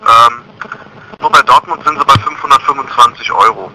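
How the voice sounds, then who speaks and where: A man's voice: medium pitch, a little fast, vocal fry. A man working as a courier service agent, handling a customer call on the phone. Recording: noisy telephone line, harsh sound.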